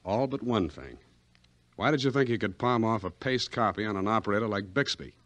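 Guy doing a wisecracking 40s radio detective, low voice, cool and sardonic. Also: brisk, a bit bright, dry recording, natural voice. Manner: dry, sardonic, clipped. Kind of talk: 1940s radio acting.

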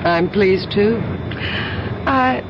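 On a dull, noisy vintage radio recording, a courteous woman traveller of middling pitch. She is friendly and a little hesitant, in period drama style. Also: drawn-out words. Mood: polite, friendly. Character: polite, hesitant, friendly female airline passenger. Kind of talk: 1970s radio drama acting.

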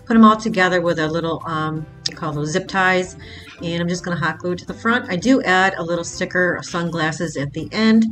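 A woman's voice: lowish voice, a little fast, a bit bright, soft background music. A woman hosting a craft channel, talking casually step by step through a project in a voice-over, with the odd filler. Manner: plain step-by-step explaining with fillers.

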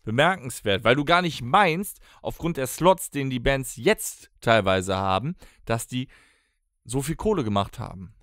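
A man with an average-pitched voice chats casually as a podcast co-host. He throws in guesses and reactions. The recording is close and free of room sound. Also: animated, a little slow, fairly bright tone, no room sound.

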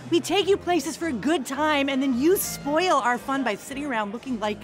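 An actress playing a brash, impatient party-loving friend in a radio drama, scolding and exclamatory. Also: female voice, high-pitched, expressive, moderate pace, faint music behind. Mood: impatient, teasing.

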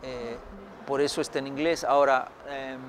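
A man's voice: medium pitch, steady tone, moderate pace, a little hiss or hum. A middle-aged man from Mexico answering interview questions outdoors, thoughtful, with many fillers and restarts. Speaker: historian author. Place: outdoors by a building.

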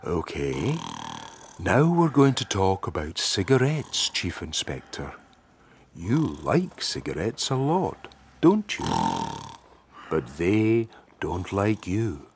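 Exasperated doctor, indignant, precise, very varied pitch, radio play, noisy background. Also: male voice, huge pitch swings, slow, full character voice. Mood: slow, soothing. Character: exasperated, indignant male psychiatrist who practises hypnosis. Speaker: actor in radio drama. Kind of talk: radio drama acting.

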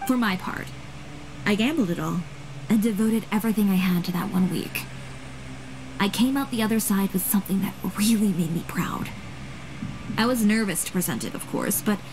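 Woman as composed young woman, proud, determined, medium pitch, measured delivery, video game drama, faint background ambience. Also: expressive, noisy background, natural voice.

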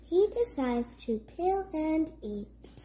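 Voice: sits high, very animated, slow, mellow. A child reading a rhyming picture book aloud as a story-time host, carefully and word by word.

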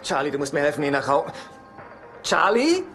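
Casting: anxious, easily flustered sitcom man, very animated delivery, music behind. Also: fairly high pitch, moderate pace. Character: fussy, anxious divorced man, brother of a carouser. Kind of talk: German-dubbed sitcom acting.

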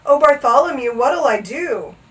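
A woman's voice: sits high, expressive, a little slow, a bit bright, distant mic, voice pushed up. A woman voicing a bumbling, flustered royal trumpeter, a plaintive man in a storybook. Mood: wailing. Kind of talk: animated storybook character voice.

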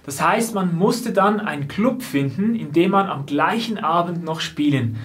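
A young man tells a tour story straight to camera in a small indoor room. His voice sits high for a man, and he talks calmly in an explanatory way, slipping in soft laughs. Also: measured pace. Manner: calm, explanatory, soft laughs between phrases. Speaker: drummer and vlogger. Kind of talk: seated piece-to-camera storytelling. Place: small indoor set with lamp and painted backdrop. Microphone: fixed camera, seated framing.

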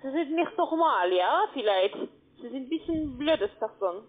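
A woman with a foreign accent, not a native speaker, giving a phone sales pitch as a call centre agent in halting, broken phrasing. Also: animated. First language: not German. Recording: muffled tinny phone line.

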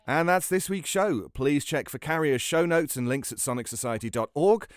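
A man's voice: a little high, normal speed, faint music behind. A man hosting a show and reading a scripted sign-off. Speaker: show host.

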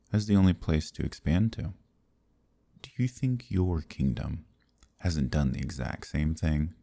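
Seductive demon king villain, ASMR roleplay: rock-bottom pitch, breathy, smug and quietly menacing. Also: full character voice. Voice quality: very deep, gravelly, breathy. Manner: slow, smug, softly threatening. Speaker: voice actor.

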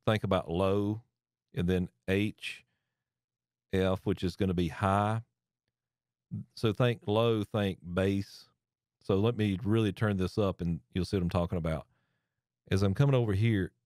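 A man's voice: fairly low pitch, a little mellow, dry recording. A man in his thirties explaining a mic processor in a casual hands-on way from a home studio desk, talking into a close studio mic and often restarting phrases. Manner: chatty, explains while turning knobs, restarts phrases. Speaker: audio gear tutorial host. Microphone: studio mic on arm close to face.

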